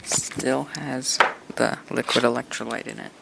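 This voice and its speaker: A man's voice: medium pitch, steady tone, unhurried, vocal fry. A man talking casually while he restores an old radio, thinking aloud and making chatty asides as he works. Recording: noisy workshop recording.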